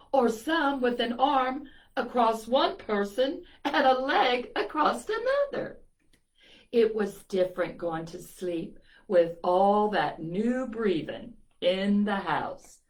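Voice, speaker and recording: A woman reading a picture book aloud to children, telling it expressively and adding little asides to her young listeners. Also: medium pitch. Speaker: children's storytime reader.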